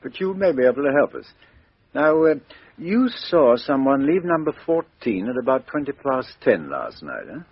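A man playing a brisk police inspector who hems and haws while questioning, in a dull-sounding old radio drama recording. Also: medium pitch, big pitch swings, husky, no room sound. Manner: brisk, patient, hemming. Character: brisk Scotland Yard police inspector, middle-aged man. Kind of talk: 1950s radio drama acting.

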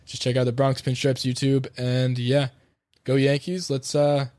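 A man's voice: mid-range voice, flat delivery, moderate pace, a little mellow, no room sound, clean studio sound. A man hosting a sports podcast, bantering casually and rambling in a joking way.

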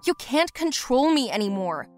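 A woman voicing a gravely ill, yearning teenage girl in a story, emotional and pleading. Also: high-pitched, lively intonation, unhurried, faint music behind, pitched above the performer's own voice. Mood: defiant, desperate.

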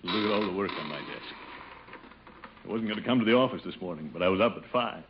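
An actor playing a pompous, easily flustered middle-aged water commissioner in a blustery 1950s radio sitcom style. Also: male voice, lowish voice, lively intonation, quick pace, lightly acted. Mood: brooding. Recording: muffled old radio recording, faint hiss.

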